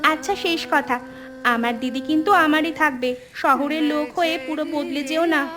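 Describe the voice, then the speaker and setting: A woman voicing an affectionate, pouting, playful younger sister in an animated family story. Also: sits high, brisk, over music, close to an everyday voice. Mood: insistent, affectionate. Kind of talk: animated family drama dialogue.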